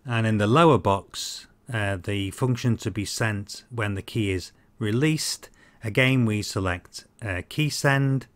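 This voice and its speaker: A man in his fifties explaining software in an informal tutorial, hesitant and halting, with frequent ums. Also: fairly low pitch. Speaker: presenter of a flight simulator tutorial series.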